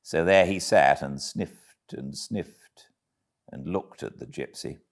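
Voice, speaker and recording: A British man narrating a classic storybook as an audiobook reader, with expressive delivery. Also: very deep voice, slow pace. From Britain.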